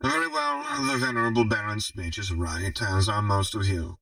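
A man voicing a shrewd, commanding female crime baron in an audiobook, speaking in formal, measured speeches. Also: on the low side, big pitch swings, creaky, pushed and bright, dry recording, recorded from a distance, heavily acted, rough recording. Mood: calm, businesslike.

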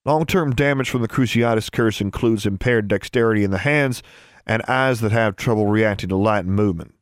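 A man voicing a calm, commanding, sardonic young male wizard in an audiobook, with a patronizing manner. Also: on the low side, a little fast, no room sound, studio quality, natural voice. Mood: clinical. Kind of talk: audiobook character voice.

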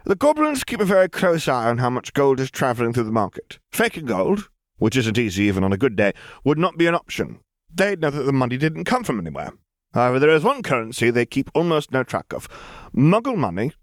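A man's voice: medium pitch, very animated, a little fast, no room sound, studio quality, pitched above the performer's own voice, close to an everyday voice. A man voicing a brash, eccentric young girl crime boss in an audiobook, with breezy, glib, conspiratorial patter. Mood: sly, confident.

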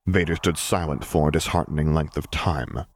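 A man reading a story aloud as an expressive audiobook narrator. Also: very deep voice, normal speed, slight background noise.

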